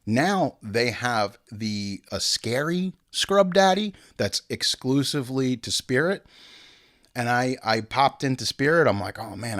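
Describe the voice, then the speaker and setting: A man in his forties hosting a podcast, chatting casually in slangy, animated stories and acting out quotes. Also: mid-range voice. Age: 40s. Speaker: podcast host. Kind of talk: casual solo podcast talk.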